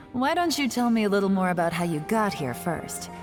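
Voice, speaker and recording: A woman playing an enigmatic female agent in an English video game dub, composed, teasing and quietly authoritative. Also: medium pitch, lively intonation, normal speed, music in the background, natural voice. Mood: coy, teasing. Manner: composed, coy, controlled.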